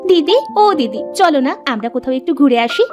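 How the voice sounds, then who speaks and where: A woman's voice: sits high, lively intonation, quick pace, over music. A woman voicing an eager, affectionate younger co-wife in a village cartoon. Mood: eager, chatty. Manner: eager, addresses her elder as sister. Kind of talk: Bengali moral-story cartoon dialogue.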